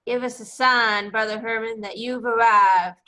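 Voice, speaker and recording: A woman's voice: a little high, steady tone, a little slow, pushed and bright. A woman voicing a pompous male cartoon rooster with a Southern US accent, delivering a blustery eulogy and repeating words for emphasis. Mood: solemn, beseeching.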